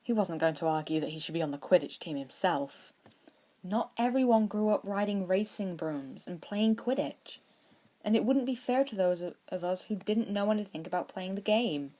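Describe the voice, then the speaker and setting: A woman's voice: mid-range voice, lively intonation, normal speed, warm tone. A woman reading scripted fiction aloud as an audiobook-style narrator.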